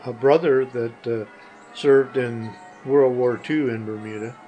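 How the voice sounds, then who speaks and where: Older man, hesitant short answers, mid-pitched voice, faint music behind. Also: steady tone, unhurried, highs cut off. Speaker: guest, the hosts' father. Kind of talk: casual interview answers.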